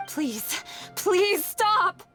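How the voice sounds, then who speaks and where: A woman's voice: very high-pitched, very animated, extremely slow, fairly bright tone, soft background music, exaggerated voice. A woman playing an earnest, conflicted young female scholar in an anime video game, polite and sincere, hesitant under pressure. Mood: shaken.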